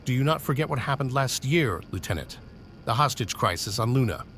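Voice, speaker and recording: A man's voice: medium pitch, expressive, fairly quick, fairly bright tone, slight background noise. A man playing a stern senior officer. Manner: clipped, commanding orders.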